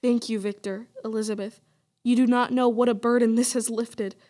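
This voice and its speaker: A woman's voice: fairly high pitch, little pitch movement, normal speed, warm tone, natural voice. An actress playing a wrongly condemned young woman, pious and resigned, speaking earnestly and formally in a radio play.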